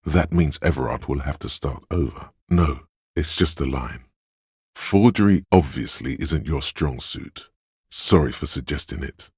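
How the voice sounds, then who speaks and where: A man voicing a wry, erudite inner voice that narrates a detective's thoughts in a video game, deliberate and literary, with a gravelly husky tone. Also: very deep voice, darkish tone, no echo, clean studio sound, exaggerated voice. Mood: dry, sardonic. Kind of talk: scripted second-person narration for a role-playing video game.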